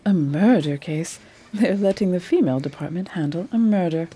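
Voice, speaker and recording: A woman's voice: lowish voice, animated, normal speed, dark tone, breathy edge. A woman playing an eager, commanding lady detective in a radio drama, refined and purposeful. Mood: excited, determined. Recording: faint background noise.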